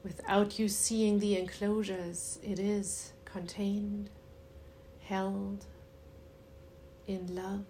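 A woman leading a guided meditation in a soothing, unhurried way with long pauses and a soft voice that turns breathy at times. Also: medium pitch, flat delivery, a little hiss or hum.

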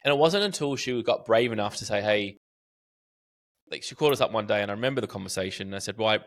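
A middle-aged Australian man in casual conversation, his voice sitting on the lower side. He talks with animation, tells stories and often restarts his sentences. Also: quick pace. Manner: animated, storytelling with restarts and fillers. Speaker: podcast guest, marketing educator. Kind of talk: casual interview chat.

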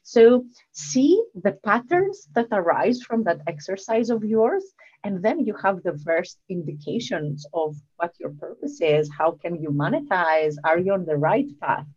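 A woman with a Southern European accent speaks in a somewhat low voice as a coach being interviewed. Her delivery is conversational and explanatory, with questions she answers herself. The recording is a little muffled and lo-fi. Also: big pitch swings, unhurried, a little mellow, husky. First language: not English. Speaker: guest, leadership coach.

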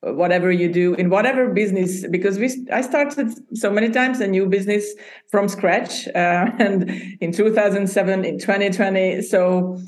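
A woman's voice: mid-range voice, moderate pace, darkish tone. A woman from Hungary who speaks Hungarian as her first language, chatting as a podcast guest and often laughing as she talks. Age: adult. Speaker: podcast guest, agency founder. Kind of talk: casual interview chat.

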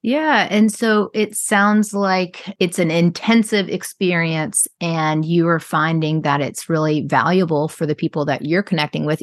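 Low, creaky-voiced woman hosting an interview. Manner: affirming, carefully paraphrases the guest. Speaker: interview host. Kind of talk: reflective podcast interviewing.

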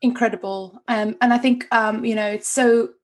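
A woman's voice: a little high, fairly even intonation, moderate pace. A woman whose first language is Afrikaans, hosting an interview and setting up questions for her guest. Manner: warm praise, framing questions with caveats. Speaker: interview host.

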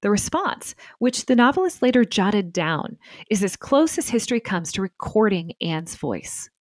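Female narrator, mid register, for scripted history podcast. Articulate, measured storyteller, very dry sound. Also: mid-range voice, big pitch swings, no room sound.

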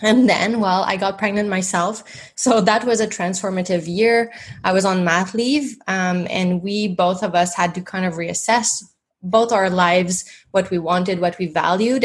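A woman in her thirties from Montreal with a Québécois French accent, French being her first language, speaking as a dietitian guest in a relaxed, thoughtful way. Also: mid-range voice. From Montreal, Canada. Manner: thoughtful, with frequent fillers and restarts. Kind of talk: casual interview conversation.

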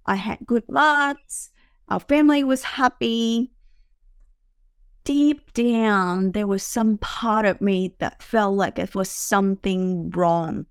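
A woman's voice: medium pitch, slow, clean tone, dry recording, studio quality. A woman from Vietnam, hosting a podcast, talking reflectively about her own life in a searching way with fillers and restarts. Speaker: podcast host. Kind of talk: reflective personal talk.

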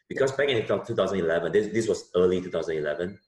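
A man in his thirties from Indonesia, a software business owner answering interview questions casually, with many fillers and restarts. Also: sits low, lively intonation, fast. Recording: roomy echo.

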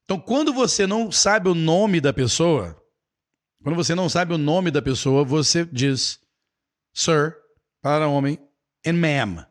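A man with a Brazilian Portuguese accent runs a live English lesson, explaining with playful imitations. His voice sits a little high and he talks in an animated, friendly-teacher way while answering viewers' comments. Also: measured pace.

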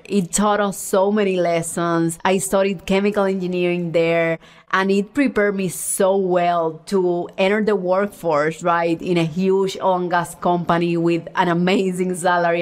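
A woman in her thirties, born in Cuba, speaking English with a Puerto Rican accent, hosting a solo podcast in an earnest, heartfelt, unhurried way. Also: lowish voice. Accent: Puerto Rican Spanish-accented English. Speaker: podcast host and coach. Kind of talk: reflective solo podcast monologue.

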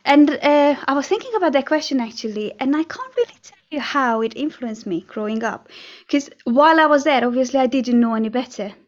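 A woman's voice: sits high, expressive, fairly quick. Woman on a dull, lo-fi line. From Hungary. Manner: earnest, with frequent fillers and soft laughs. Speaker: guest, NLP trainer and hypnotherapist. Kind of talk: casual interview storytelling.

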